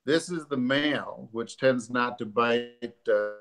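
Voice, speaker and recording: A man, hosting a live stream as a doctor from his living room, a bit congested, pausing often with ums and uhs. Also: medium pitch, a bit bright. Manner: halting, many ums and uhs. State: congested from allergies. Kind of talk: casual educational talk. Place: living room at home, sitting on a sofa. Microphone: webcam or laptop camera at desk distance.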